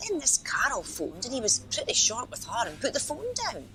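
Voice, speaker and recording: A woman's voice: fairly high pitch, sing-song, a little fast, bright, forceful tone, a little hiss or hum. A woman playing a polite, hesitant and rather nosy helpline volunteer in a radio play, with an apologetic manner. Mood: gossipy. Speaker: helpline phone operator.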